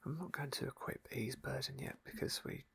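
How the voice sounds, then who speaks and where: An ASMR streamer whispering softly and hesitantly through a roleplay game playthrough. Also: mid-range voice, brisk, vocal fry, breathy edge. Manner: soft unvoiced whisper, gentle and hesitant.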